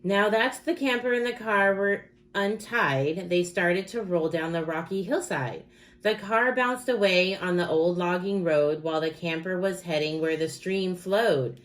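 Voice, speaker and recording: A woman with a slightly low voice reads a picture book aloud for young children. She tells it like a teacher, keeping a steady rhythm and putting dramatic emphasis on key words. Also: a little slow.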